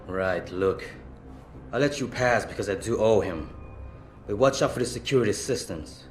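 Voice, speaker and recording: An actor playing a grudging, stern guard in a video game. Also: male voice, on the low side, moderate pace, natural voice. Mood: grudging warning. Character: grudging, stern male guard repaying a favour. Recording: roomy echo, faint music.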